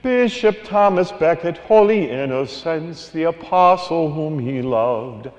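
High-voiced priest in a reverberant church. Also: male voice, animated, takes it slowly, darkish tone, muffled recording. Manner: sings a long cumulative list, then talks plainly and conversationally. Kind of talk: homily with a sung carol.

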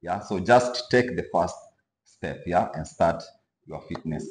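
A young Kenyan man with a very low voice hosts a laid-back chat in an echoey indoor gym. He keeps saying "yeah" and mixes in street Swahili. A lapel mic picks up the room reverb. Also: unhurried. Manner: relaxed, frequent "yeah" affirmations, mixes Swahili slang. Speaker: host. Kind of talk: casual interview.

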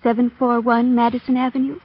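Actress as a poised, flirtatious heiress. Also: female voice, on the high side, level tone, brisk, natural voice. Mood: poised, coy. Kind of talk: 1940s radio drama acting. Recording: muffled old 1940s radio recording.

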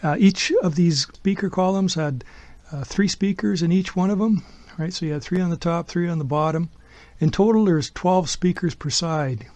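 A man's voice: high-pitched, moderate pace, a little mellow, no room sound. A man giving a casual, explanatory home demonstration in an informal, step-by-step and somewhat hesitant way. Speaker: DIY audio builder presenting a project.

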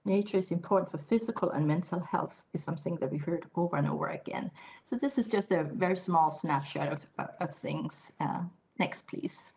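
A middle-aged woman giving a keynote over a video call, speaking in a measured way with frequent fillers. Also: on the low side, gravelly, darkish tone. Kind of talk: conference presentation. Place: indoor room, video call.

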